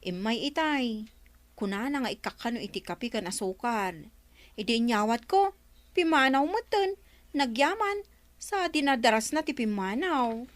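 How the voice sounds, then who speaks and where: High-pitched grumbling Ilocano storekeeper. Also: female voice, animated, a little slow, no echo. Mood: complaining. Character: grumbling village storekeeper woman, wary of credit. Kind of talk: comedic radio drama acting.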